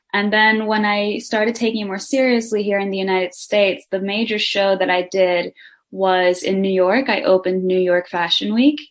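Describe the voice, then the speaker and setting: A young woman from Denmark, a singer and actress, answering interview questions eagerly and running her sentences together. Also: mid-range voice.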